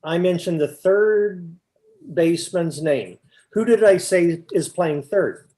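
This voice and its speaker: A performer acts out a confused, increasingly exasperated questioner in a stage comedy double act. The voice sits at a middle pitch and pushes insistently through each question. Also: lively intonation, slow pace, mellow. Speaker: amateur readers theater performer. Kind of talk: staged comic dialogue reading.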